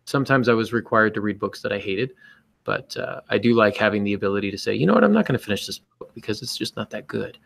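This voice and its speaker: A man's voice: fairly low pitch, expressive, fast talker, vocal fry, a bit bright. A man hosting a podcast, casually explaining his views on writing in a conversational way. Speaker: podcast host and writer.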